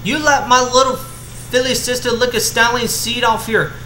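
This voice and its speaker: An outraged female cartoon pony, a bossy and protective big sister, acted out by a man. The voice is pushed well up in pitch. It sits in an echoey room with clear noise behind. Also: little pitch movement, normal speed, sharp tone. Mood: shocked outrage. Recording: roomy echo with clear background hum.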